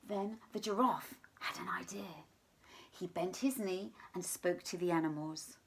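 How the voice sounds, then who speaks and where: A woman reading a children's story aloud in an expressive, deliberate storytelling manner, sometimes hushed. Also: on the low side, a little hiss or hum. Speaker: teacher reading a picture book to children.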